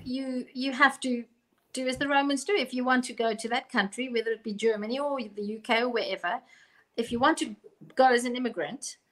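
A middle-aged woman giving her opinion haltingly, repeating phrases, in a casual panel discussion on a video call. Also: on the high side, fairly bright tone, rough recording. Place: room at home.

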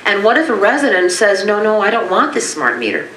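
A woman's voice: medium pitch, sing-song, a little fast, a bit bright. A woman making an insistent comment at a community meeting, throwing out rhetorical questions. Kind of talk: impassioned public comment. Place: meeting room. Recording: echoey room, distant mic.

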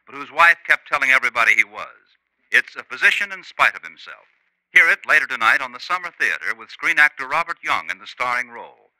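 A male host narrates a scripted 1950s radio crime story. His voice is mid-pitched and piercingly bright, with animated intonation. He speaks in deliberate, clipped phrases with a dry manner. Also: dry recording, rough recording.

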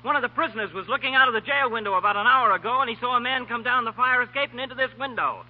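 A man's voice: very high voice, very fast. An actor playing a bullying, officious male sheriff who barks his lines in 1940s radio style. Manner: barking, officious. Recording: dull 1940 radio broadcast recording.

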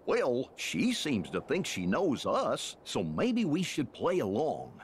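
A man's voice: medium pitch, wildly swooping pitch, slow, a little hiss or hum. A man voicing a tall, good-natured, goofy cartoon dog in a video game, with an amiable, dopey drawl. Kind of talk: video game cutscene acting.

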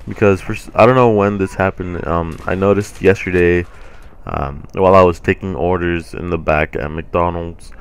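A young man hosting a gaming channel, rambling casually over gameplay with a deep voice and many ums, his throat a little sore. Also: steady tone, moderate pace, clear, resonant tone. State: sore throat from swollen tonsils.

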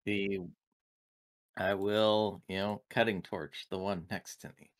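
A man playing an online tabletop game, describing his moves casually and haltingly. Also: deep voice, level tone.

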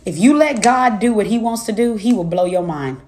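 A woman's voice: mid-range voice, expressive, moderate pace, warm tone, roomy sound. A woman in her twenties, a minister and life coach, preaching her testimony to viewers in an emphatic, repetitive cadence. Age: 20s.

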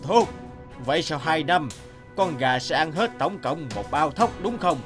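Stern, authoritative old-time magistrate. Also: male voice, on the high side, animated. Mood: stern, measured. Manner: measured, authoritative questioning. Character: stern, shrewd male magistrate of old Vietnam. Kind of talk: animated fairy-tale dubbing. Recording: music bed behind the voice.

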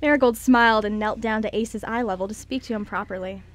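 A woman's voice: mid-range voice, quick pace. A woman reading scripted story narration as the narrator of an amateur radio drama.

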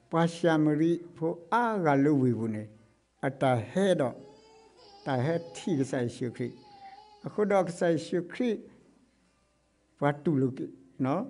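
A middle-aged man preaching a sermon with a Karen accent. He speaks into a stand mic on a church stage, swinging between loud exclamations and quiet passages. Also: a little high. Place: church stage before blue curtain. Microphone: stand mic close to mouth.

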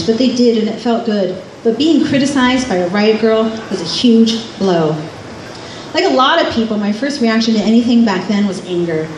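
A woman's voice: mid-range voice, a little mellow. A middle-aged American woman reading a scripted memoir aloud live on stage in a club. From California, United States. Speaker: author and musician reading from own memoir. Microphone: stand microphone through PA, recorded by distant camera. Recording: roomy, distant camera pickup, faint noise. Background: faint room noise and ambience.

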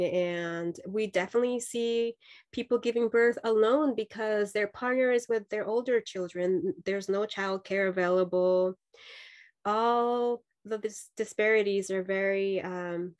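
Woman from Mexico, 30s, earnest and reflective, halting restarts, laptop video call from home. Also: mid-range voice. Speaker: nurse-midwife guest. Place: home room with dark sofa.

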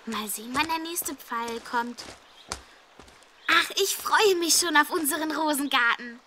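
Bright, high-voiced young girl. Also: a little slow, slight background noise. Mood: curious, musing. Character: cheerful, confident young girl on a scavenger hunt. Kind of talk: children's audio drama acting.

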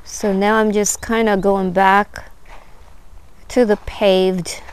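A woman gives an unhurried, admiring tour of her backyard garden, filming on a handheld phone outdoors. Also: mid-range voice, level tone, clean tone, poor audio. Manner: unhurried, admiring, many fillers. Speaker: gardener vlogger. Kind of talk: casual garden tour narration.

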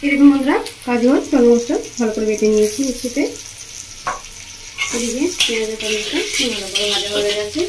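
Brief: quick, fairly high-voiced woman narrating her own cooking, recorded in an echoey home kitchen. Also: background noise. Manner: chatty, keeps addressing viewers as friends. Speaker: cooking channel host. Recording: echoey tiled kitchen with loud frying. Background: sizzling and frying from the pan.

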